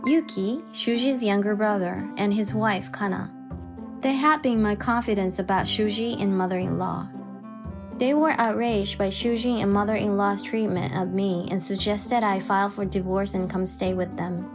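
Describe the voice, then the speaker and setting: A woman's voice: mid-range voice, normal speed, music in the background. A woman giving scripted first-person narration as the narrator of a dramatized story.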